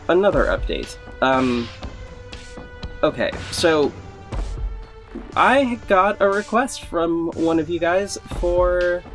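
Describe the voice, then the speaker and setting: A man's voice: a little high, a bit bright. Enthusiastic man podcasting over music. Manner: enthusiastic, informal. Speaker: podcast host and creator. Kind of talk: casual unscripted update to listeners. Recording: music under the voice.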